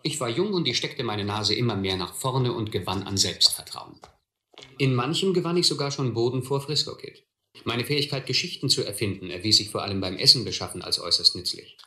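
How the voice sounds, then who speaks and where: A man's voice: mid-range voice, expressive, a little fast, sharp tone, roomy sound. An actor playing a grown man who wryly and reflectively narrates his vagabond youth in a German radio play. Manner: wry, reflective.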